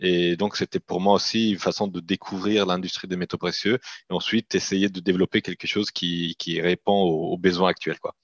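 A man's voice: low voice, flat delivery, fairly quick, hardly any pauses, no echo, lo-fi sound. A man in his thirties from Italian-speaking Switzerland, a native Italian speaker with a Swiss Italian accent, talking hesitantly as a guest over a video call. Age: 30s. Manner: hesitant, many restarts and fillers. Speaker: company CEO guest. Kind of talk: casual interview talk.

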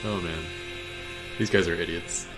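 Slow-talking young man reacting over music. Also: deep voice, steady tone. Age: young adult. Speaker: reaction video host. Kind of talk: brief casual reactions to a cartoon. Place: small indoor room. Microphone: desk microphone. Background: cartoon audio and music.

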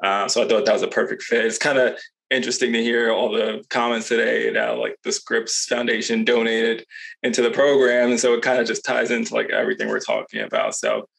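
A man alumnus answering on a video call panel in a relaxed, measured way. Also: medium pitch, creaky, rough recording.

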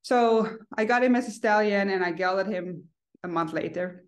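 A woman's voice: mid-range voice, normal speed. A woman, chatting in a friendly, casual way as a guest on a video call from home. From Netherlands. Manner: friendly, chatty, with fillers and restarts. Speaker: guest, horse owner. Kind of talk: casual interview chat. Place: home room.

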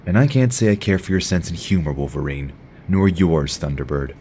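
A man's voice: low-pitched, expressive, brisk, dark tone, a little hiss or hum. An actor playing an earnest, stern young male superhero team leader in an audio drama, serious and commanding.

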